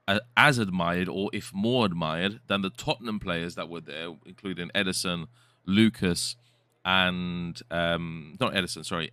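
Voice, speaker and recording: A man's voice: sits low, sharp tone, clean tone, no room sound. A man co-hosting a live futsal stream, chatting casually and reading viewer comments aloud. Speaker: host and co-commentator.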